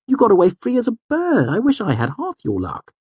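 A man's voice: high-pitched, wildly swooping pitch, a little fast, a little mellow, breathy edge, dry recording, voice pushed up, full character voice. A man voicing an honest, good-natured young male student in an audiobook, emphatic, earnest and easily stirred up. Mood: chiding. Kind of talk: audiobook character voice.

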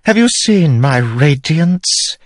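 A man voicing a scheming, haughty queen who mocks her husband in a high, scornful voice. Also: sing-song, slow pace, no echo, full character voice. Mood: gloating. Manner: scornful, sneering, high and pinched. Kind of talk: audiobook character voice.

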